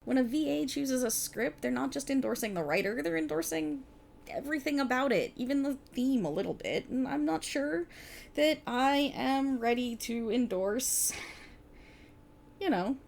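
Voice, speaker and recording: A woman's voice: high-pitched, lively intonation, normal speed, vocal fry, a little mellow, slight background noise. A woman playing a playful, exasperated voice actor bantering casually with her best friend in an ASMR roleplay. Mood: reluctant, sardonic. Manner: sarcastic, chatty, casual, hesitant asides. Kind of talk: ASMR roleplay, one side of a conversation.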